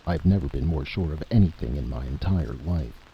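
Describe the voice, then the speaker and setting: A grave intelligence chief, acted by a male narrator delivers urgent, formal statements in a very low voice. The tone is gravelly and emphatic, on a dull recording with clear noise. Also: lively intonation, fairly quick. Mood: grave urgency. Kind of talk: dramatic audiobook-style reading.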